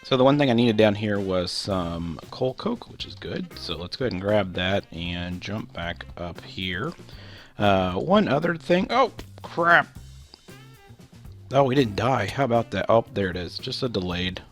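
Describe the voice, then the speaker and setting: A man's voice: on the low side, big pitch swings, unhurried, a bit bright, faint music behind. A man with a stuffy nose from a cold, casually talking through his game with loose, rambling explanations and lots of fillers. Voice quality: nasal. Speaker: gameplay commentator. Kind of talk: casual game walkthrough commentary.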